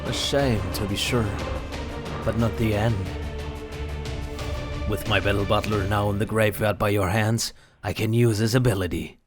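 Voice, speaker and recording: Man acting as low-voiced, defiant hero duelist. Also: fairly even intonation, moderate pace, pauses often, music in the background, natural voice. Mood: defiant, cool. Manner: defiant, formal, theatrical. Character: confident, grandiose young hero card duelist. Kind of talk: fan audio drama acting.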